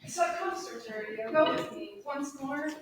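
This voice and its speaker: A woman's voice: high voice, animated, a little slow, a little hiss or hum. A woman in a staged Shakespeare reading playing a playful, gracious young noble lady in a courtly, theatrical manner. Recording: distant, very echoey room recording.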